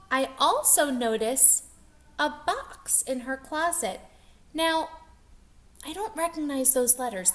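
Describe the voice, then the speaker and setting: A woman with a fairly high voice reads a picture book aloud like a teacher. She takes it very slowly and expressively, stopping to put questions to young listeners. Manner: expressive, didactic, addressing young children. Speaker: teacher reading to pupils.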